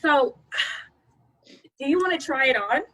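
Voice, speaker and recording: A woman's voice: high voice, lively intonation, unhurried, bright, forceful tone, full character voice. A woman playing a coaxing, persuasive colleague. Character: coaxing, encouraging female colleague.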